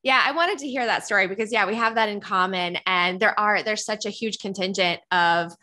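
A woman's voice: medium pitch, a little fast, sharp tone. A young woman hosting an interview podcast, asking eager, affirming questions into a studio mic. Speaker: podcast host. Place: indoors against plain wall.

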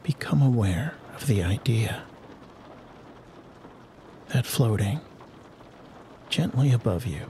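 A man narrating a guided sleep hypnosis in a soothing, unhurried manner with long pauses. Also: medium pitch, animated, a little mellow, a little hiss or hum. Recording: rain and thunderstorm sounds behind the voice. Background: rain and distant thunder ambience.

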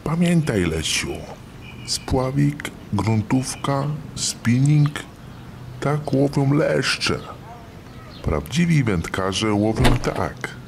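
A man voicing a gruff old grandfather in a cartoon, a veteran angler instructing in a gravelly voice. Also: mid-range voice, very animated, noisy background, heavily acted. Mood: gruff, instructive. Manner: very slow, instructive. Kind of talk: cartoon flashback dialogue.

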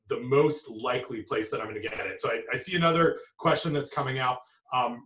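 A man in his forties answering audience questions as a food safety expert on a video call from a home office, halting and restarting often. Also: medium pitch, a bit bright. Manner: halting, many restarts and fillers. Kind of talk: live webinar Q&A. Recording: roomy video call audio, distant mic.

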